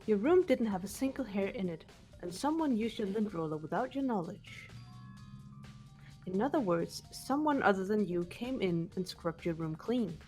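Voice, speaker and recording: A woman voicing a cool, analytical teenage girl detective, deliberate and composed, for a visual novel game. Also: medium pitch, animated, a little mellow, soft background music, natural voice. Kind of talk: voiced visual novel reading.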